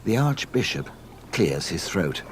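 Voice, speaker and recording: A man narrating a scripted read-along storybook in a mock-solemn, deadpan comic manner. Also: deep voice, measured pace, a little hiss or hum.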